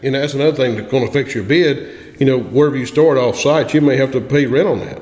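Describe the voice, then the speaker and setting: A man's voice: mid-range voice, quick pace, darkish tone. A man, a construction veteran giving practical advice on a podcast in a small room, plain-spoken with frequent restarts. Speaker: construction industry veteran guest. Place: small room with a table, indoors. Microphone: studio mic on a boom arm. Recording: echoey room with long reverb.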